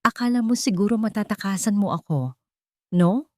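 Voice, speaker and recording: A woman's voice: mid-range voice, lively intonation, normal speed, dry recording, clean studio sound, voice pushed up, natural voice. A woman voicing a vengeful, scheming fiancée in an audio love drama, taunting and menacing. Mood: bitter, menacing. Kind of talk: dramatic audio story acting.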